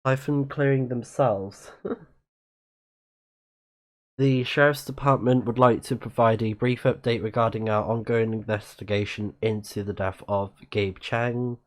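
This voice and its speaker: A man's voice: medium pitch, fairly even intonation, unhurried. A man doing casual let's-play commentary on a livestream, often reading in-game text aloud and correcting himself when he stumbles. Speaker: livestreaming gamer and channel host.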